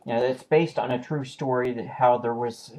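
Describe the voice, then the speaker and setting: A man's voice: mid-range voice, rough recording. Man casually chatting about his discs. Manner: relaxed and unscripted, with pauses and self-corrections. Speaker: video collector showing new purchases. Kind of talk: casual home video review.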